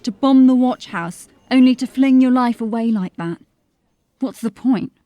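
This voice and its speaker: A woman's voice: fairly high pitch, moderate pace, dark tone, no echo, close to an everyday voice. A woman playing a dry, sceptical constable of a fantasy city watch in an audio drama. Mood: puzzled, probing. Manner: questioning, dry.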